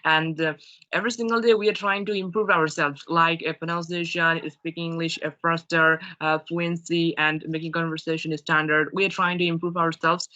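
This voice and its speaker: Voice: mid-range voice, flat delivery, moderate pace, bright, forceful tone. Polite Bengali-speaking interviewer, lo-fi call. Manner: polite, formal, hesitant with fillers. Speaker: co-host, English learner interviewer. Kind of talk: casual interview for conversation practice.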